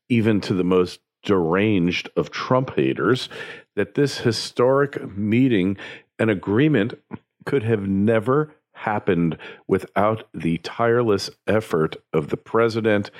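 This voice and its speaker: An American man delivering opinion commentary as a podcast host, in an emphatic, opinionated way. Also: on the low side. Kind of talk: opinion commentary, partly scripted monologue.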